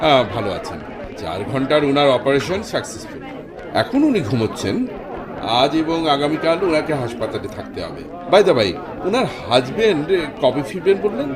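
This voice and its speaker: An actor playing a formal, dutiful and reassuring hospital doctor in a Bengali radio drama. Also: male voice, on the high side, very animated, moderate pace, a crowd in the background. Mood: courteous, reassuring.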